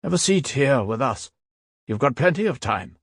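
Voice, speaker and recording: A man reading an audiobook voices a weary fifty-year-old soldier who talks in a casual, mocking, unhurried way. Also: mid-range voice, very animated, husky, no room sound, studio quality, voice pushed up.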